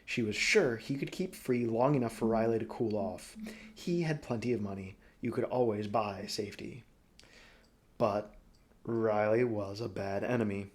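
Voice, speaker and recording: A man narrating a story as an audiobook reader, expressive and dramatic, phrasing deliberately. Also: lowish voice.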